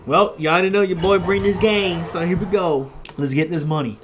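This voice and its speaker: A man's voice: high voice, steady tone, moderate pace, background noise. A young man giving casual gameplay commentary in a slangy, reactive manner. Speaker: gameplay streamer. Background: game music.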